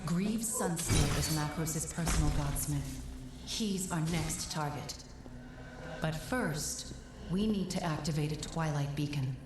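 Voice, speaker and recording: Low-voiced grave female guide, roomy echo. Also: slow pace, noisy background. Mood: instructive, cautious. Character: grave female guide counseling a warrior knight. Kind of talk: scripted fantasy game acting. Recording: roomy echo under loud game music.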